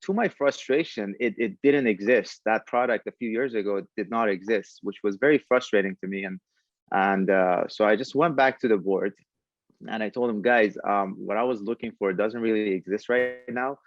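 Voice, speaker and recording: A man giving conversational answers as a podcast guest, speaking in a halting way with frequent pauses. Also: fairly low pitch, no echo, dull audio. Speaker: podcast guest, tech entrepreneur.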